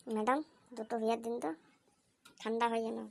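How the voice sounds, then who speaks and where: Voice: medium pitch, level tone, quick pace, gravelly, a little mellow, natural voice. A performer voicing a crude, cocky young male slacker with slangy, foul-mouthed banter in a comedy cartoon. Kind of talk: amateur comic cartoon dubbing. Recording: slightly noisy amateur cartoon dub.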